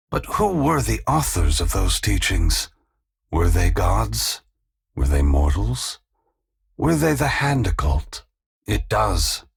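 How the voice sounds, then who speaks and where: An actor playing an ancient, solemn, gentle and remorseful male god in a video game, speaking in a slow, measured, philosophical way with a deep, gravelly, husky voice. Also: exaggerated voice. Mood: solemn, questioning. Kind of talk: scripted video game character dialogue.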